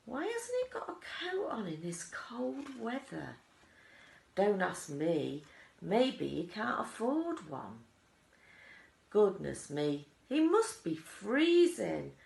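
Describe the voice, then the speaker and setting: A woman as nosy storybook bystander, scandalised and exclamatory, wildly varied pitch, gravelly edge, roomy echo. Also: huge pitch swings, slow, darkish tone, exaggerated voice. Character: excited gossiping onlookers shouting in a waiting crowd.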